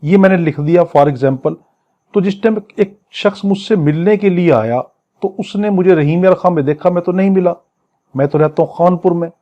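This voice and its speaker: Pakistani man, law lecturer, slightly high pitch, very mellow timbre, didactic phrase-by-phrase explanation, repetitive. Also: highs cut off. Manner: didactic, repeats and paraphrases, switches to slow English readings. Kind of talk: exam lecture, explaining legal text phrase by phrase.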